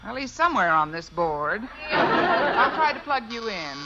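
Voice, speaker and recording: A woman's voice: on the high side, sing-song, unhurried, over a noisy crowd. An actress playing a wisecracking teacher who is working a school switchboard in an old-time radio comedy. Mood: wry, flustered. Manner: wry, quipping. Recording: noisy dull old radio recording.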